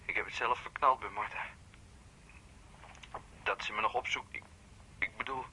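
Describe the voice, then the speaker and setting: A man's voice: on the low side, steady tone, moderate pace, pushed and bright, a little hiss or hum, rough recording. An actor playing a volatile, jealous young man in a radio play, agitated and stammering. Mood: subdued, regretful. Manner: agitated, stammering, repeating himself. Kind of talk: naturalistic radio play acting.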